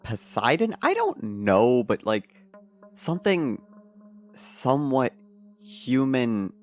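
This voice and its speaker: A man playing a troubled, pessimistic man in an audio fiction monologue, confessing in a halting, hesitant way. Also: medium pitch, huge pitch swings, faint music behind. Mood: anxious, hopeful.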